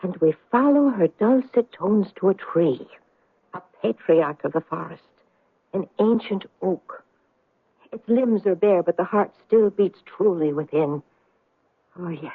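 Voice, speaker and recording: A daughter narrating with earnest wonder. Her voice is slightly rough and breathy, in old radio-play manner. The recording is thin and narrow. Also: fairly low pitch, lively intonation, unhurried, dry recording, heavily acted. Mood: rapt, reverent. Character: earnest Irish daughter recounting a wondrous family tale. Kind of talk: old-time radio drama storytelling.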